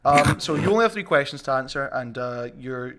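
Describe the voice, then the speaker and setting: A man's voice: medium pitch, animated, a little fast, a loud crowd. A man hosting a casual group podcast chat and reading out quiz questions as quizmaster.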